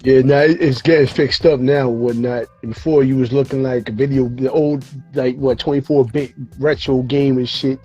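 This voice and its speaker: A man hosts a hip-hop interview show, tired and audibly losing his voice, so his tone comes out husky and mellow. He talks loosely, with slang and plenty of fillers, over a video call with faint music running underneath. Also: medium pitch, steady tone, normal speed, few pauses, dull audio. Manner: laid-back slangy talk with frequent fillers and cursing. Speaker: show host.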